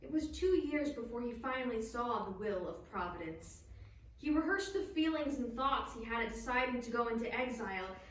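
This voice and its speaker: High-pitched woman narrator, very echoey. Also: expressive, moderate pace. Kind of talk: scripted narration in a dramatic reading. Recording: very echoey, distant, faint background noise.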